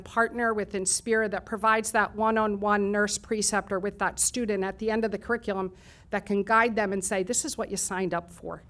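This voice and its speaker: Woman, middle-aged, medium pitch, formal speech of thanks, occasional fillers. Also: a bit bright. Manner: formal, reads lists of thanks with some fillers and restarts. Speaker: college nursing program leader.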